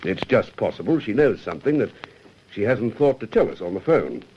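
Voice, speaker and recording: An actor playing a thoughtful, concerned police sergeant in a radio drama. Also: male voice, on the low side, sing-song, fairly quick, husky. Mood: businesslike. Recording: muffled old radio recording, faint noise.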